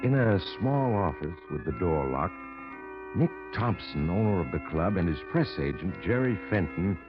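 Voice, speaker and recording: Casting: ominous mystery host, deep and theatrical, courteous, vintage radio suspense, music swelling loud behind. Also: male voice, sing-song, moderate pace, muffled recording. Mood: scene-setting. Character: eerie, genial male mysterious storyteller, darkly inviting. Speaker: host and narrator. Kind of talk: 1940s radio suspense narration.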